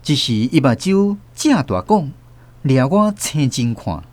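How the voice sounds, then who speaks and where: A man's voice: mid-range voice, big pitch swings, measured pace, darkish tone, no room sound. A man with a Taiwanese accent narrating a first-person story dramatically for a radio drama.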